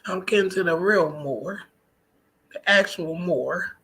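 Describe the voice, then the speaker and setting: American woman vlogger with a bright, forceful voice. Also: on the low side, slow, rough recording. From United States. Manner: emphatic, colloquial, conversational. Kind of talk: casual personal vlog monologue.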